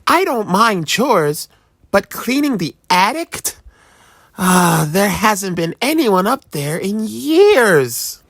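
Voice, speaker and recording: An eager young boy from a children's storybook, put on by an adult storyteller. The voice sits high and jumps about in pitch, curious and wide-eyed, exclaiming as it goes. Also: a bit bright, no room sound, clean studio sound, heavily acted. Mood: grumbling.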